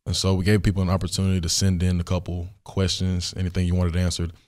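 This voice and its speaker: A young man with a hoarse, worn voice, hosting a solo question-and-answer podcast in a studio, talking in a relaxed, rambling way with many fillers. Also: deep voice, fast talker. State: hoarse, recovering voice. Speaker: podcast host.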